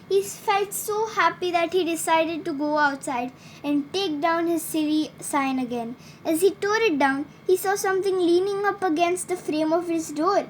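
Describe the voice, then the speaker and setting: A woman's voice: high-pitched, a little hiss or hum. A woman narrating a children's bedtime story expressively, sometimes stumbling over words and correcting herself.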